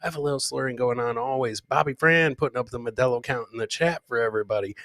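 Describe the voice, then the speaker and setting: Bright, punchy male comedy podcast host. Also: medium pitch, fast, no echo, studio quality. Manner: boisterous, slangy, repeats catchphrases. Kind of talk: casual live commentary on funny clips.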